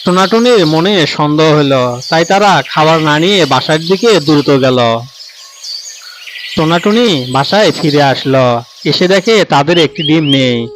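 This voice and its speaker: A man's voice: fairly high pitch, animated, unhurried, background noise. A man narrating a scripted children's story as the storyteller.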